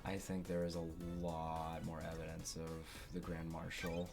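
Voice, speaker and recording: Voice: low voice, monotone, moderate pace. A gamer in a casual, sweary let's play, reading game dialogue aloud and commenting, with game music behind. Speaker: gameplay streamer and voice actor of the game's text.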